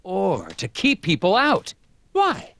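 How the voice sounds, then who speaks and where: A man's voice: high-pitched, wildly swooping pitch, slow pace, a bit bright, full character voice. An actor playing an earnest, puzzled male investigator in an adventure game, inquisitive and given to talking to himself. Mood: probing. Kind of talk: animated game dialogue and narration.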